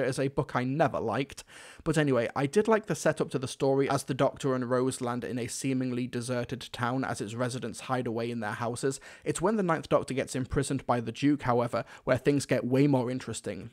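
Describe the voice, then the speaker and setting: A man's voice: mid-range voice, darkish tone. A young British man giving conversational review commentary into a close desk microphone at home. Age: young adult. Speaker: video reviewer. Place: small furnished room at home.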